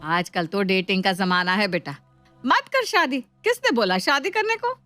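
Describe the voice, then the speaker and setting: A woman's voice: medium pitch, lively intonation, normal speed, sharp tone, no room sound, soft background music. A woman voicing a pushy, coaxing middle-aged mother, exasperated and teasing.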